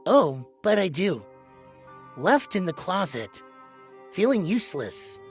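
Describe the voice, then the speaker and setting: A woman's voice: fairly low pitch, very animated, takes it slowly, darkish tone, faint music behind. A woman voicing a wistful, knowing girl doll in a children's storybook, lonely and faintly sinister, in an insinuating manner. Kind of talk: children's storybook read-aloud.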